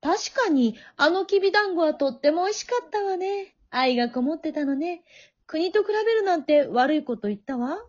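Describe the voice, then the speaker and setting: A woman playing a proud, worldly female pheasant with coquettish, gently condescending feminine speech, in an amateur comedy radio drama. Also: extremely high pitch, lively intonation, a little fast. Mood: motherly, charming. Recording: dull lo-fi audio.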